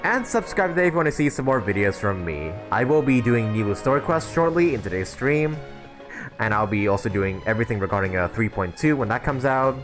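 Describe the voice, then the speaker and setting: A man's voice: mid-range voice, expressive, a little fast, over music. A young man giving casual live stream commentary on a game, informal and thinking aloud as he plays.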